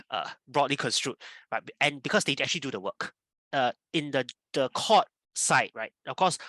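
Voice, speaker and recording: A man's voice: mid-range voice, bright, forceful tone, no room sound, rough recording. A man speaking as an author and legal academic, thinking aloud in a discussion, hesitant and often correcting himself. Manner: hesitant, self-correcting, tag questions.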